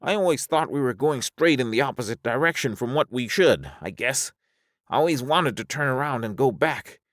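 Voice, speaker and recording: A man voicing a weary, philosophical spaceman in a science fiction audiobook, folksy and excitable as he reasons aloud. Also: mid-range voice, moderate pace, no room sound, clean studio sound, pitched above the performer's own voice, natural voice. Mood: weary musing. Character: high-voiced drawling spaceman, weary, resigned, philosophical castaway.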